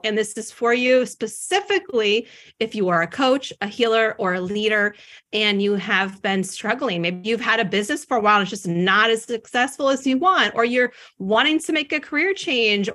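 Bubbly, bright-voiced woman podcast host. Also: on the high side. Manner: bubbly, gushing, self-deprecating. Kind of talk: casual podcast chat.

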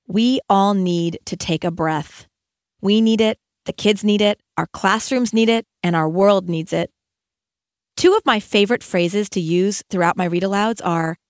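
A woman's voice: medium pitch, no room sound, studio quality. A middle-aged woman narrating an audiobook as an educator, in an earnest, articulate manner. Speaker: educator and author reading own audiobook.

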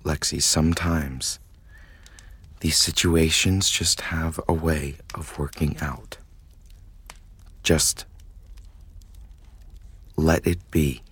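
Guy acting earnest torn rebel type, deep raspy voice, pleading, audio drama. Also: steady tone, drawn-out words, long pauses, fairly bright tone, heavily acted. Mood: torn, grave. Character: conflicted, earnest man smuggling people from an oppressive regime.

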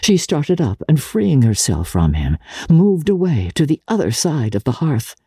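A woman narrating literary fiction in a measured, deliberate and theatrical manner, as a dramatic reading. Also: low voice, sing-song, warm tone, husky, dry recording, studio quality. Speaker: audiobook narrator.

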